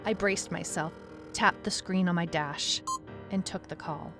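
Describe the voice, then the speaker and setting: A woman's voice: on the low side, fairly even intonation, measured pace. A wry female detective over music. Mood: doubting, tense. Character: wry, anxious female detective narrating her own case. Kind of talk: noir-like scripted first-person audio drama narration.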